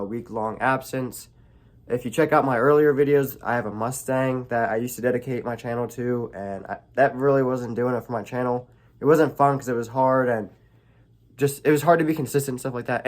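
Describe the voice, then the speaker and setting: A young man with a stuffy, nasal voice from allergies, casually walking through a card deck, rambling with many pauses and self-corrections. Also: mid-range voice. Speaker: card game channel host. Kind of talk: casual deck profile walkthrough.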